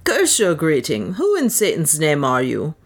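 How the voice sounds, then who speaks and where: A woman's voice: low voice, huge pitch swings, measured pace, full character voice. A woman doing a coarse, snarling trader chief. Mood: hostile. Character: coarse menacing male slave-trader chief.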